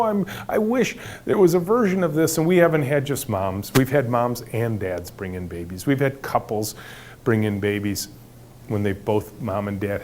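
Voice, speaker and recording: A middle-aged man with a big booming voice, telling workplace stories to an audience and acting out the dialogue with animation. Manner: animated storyteller acting out dialogue, some restarts. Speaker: company leader giving a talk. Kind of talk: storytelling talk to an audience.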